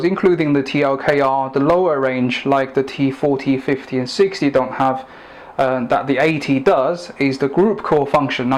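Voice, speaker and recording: A man giving a product review and demonstration in a measured, plain-spoken, instructive way. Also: medium pitch, a little hiss or hum.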